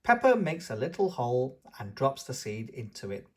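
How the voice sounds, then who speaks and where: A man's voice: mid-range voice, very animated, moderate pace. A man hosting a children's read-aloud, narrating a storybook in an enthusiastic manner. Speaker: children's book reader and channel host.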